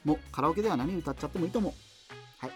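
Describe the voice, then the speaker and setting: A man's voice: on the high side, very fast, close to an everyday voice. An actor playing a rambling, opinionated, half-joking male host of a fictional subculture radio show. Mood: rambling, musing. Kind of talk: radio drama as late-night talk show. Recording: music behind the voice. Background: music.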